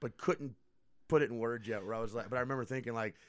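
A man guesting on a podcast, telling stories in animated bursts, swearing freely and acting out what people said. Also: mid-range voice, fast talker, no echo, studio quality. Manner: animated storyteller, swears freely, acts out quotes. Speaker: guest comedian. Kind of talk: casual podcast chat.

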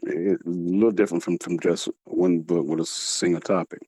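A man speaking as a guest author in a reflective interview, earnest and halting, with a slight speech impediment that makes him repeat words. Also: sits low, steady tone, warm tone, no echo, poor audio. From United States.

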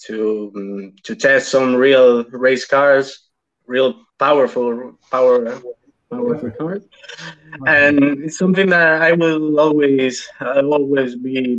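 A man from Spain with a Spanish accent, a podcast guest answering interview questions in halting English with many restarts. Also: medium pitch, a bit bright, lo-fi sound. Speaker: podcast guest, sim racing driver.

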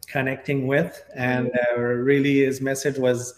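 A man's voice: mid-range voice, little pitch movement, unhurried. Middle-aged Quebec French man, echoey room. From Quebec, Canada. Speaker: podcast guest, real estate agent. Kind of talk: conversational interview storytelling.

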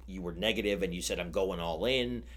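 Rambling man in his thirties, low voice. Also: brisk, over a noisy crowd. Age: 30s. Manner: rambling with many restarts and fillers. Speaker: podcast guest, business owner. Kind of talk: casual podcast conversation.

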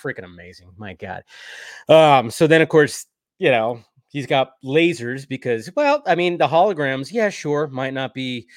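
A man talks through an episode recap in a loose, casual way. His voice sits at an average pitch, recorded close and dry with no room sound. He rambles, leaning on "like" and "you know" as he goes. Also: animated, moderate pace, no room sound. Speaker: co-host of a cartoon episode review stream.

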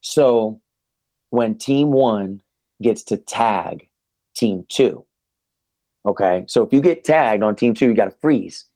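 Young man, casual podcast co-host, voice going hoarse, dry close sound. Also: lowish voice, takes it slowly, pauses often, mellow, dry recording. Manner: laid-back, says man and dude a lot. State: losing his voice. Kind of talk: casual chat.

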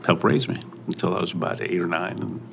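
An older man who grew up in Mexico, telling his story in a relaxed interview, halting and often trailing off. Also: low-pitched, vocal fry, a little hiss or hum. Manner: halting, many pauses and restarts, soft trailing phrases. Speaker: restaurant owner. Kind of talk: casual interview.

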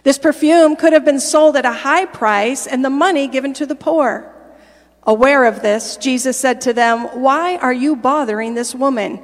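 A woman addresses a congregation directly, using plain speech with the odd filler. Her voice sits a bit high. It echoes through a large church hall. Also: moderate pace. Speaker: pastor's wife and interpreter. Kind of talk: church announcements and scripture reading. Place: church sanctuary.

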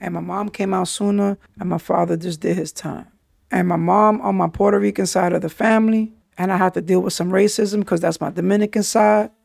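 A man's voice: sits high, fairly even intonation, brisk, gravelly, a little mellow, dry recording, studio quality. A man, hosting a podcast and telling his life story candidly in street slang, often saying "yo" and "you understand?". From New York City. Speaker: podcast host.

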